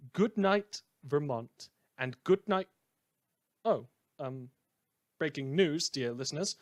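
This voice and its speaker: A man playing a wry community radio host in a fan audio drama, delivering scripted narration in a measured, theatrical deadpan. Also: fairly high pitch. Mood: genial sign-off, then hesitant, understated. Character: wry male community radio host telling eerie tales.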